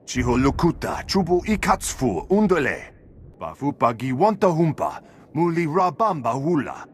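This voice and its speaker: An actor voicing an alien in a video game cutscene, declaiming theatrically in an invented alien tongue. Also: male voice, on the high side, very animated, normal speed, slight background noise.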